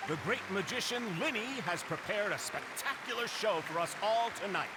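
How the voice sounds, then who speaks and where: An actor playing a grand, formal stage announcer introducing a magic show in a video game. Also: male voice, sits high, expressive, moderate pace. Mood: grand, rousing. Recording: loud crowd and noise behind.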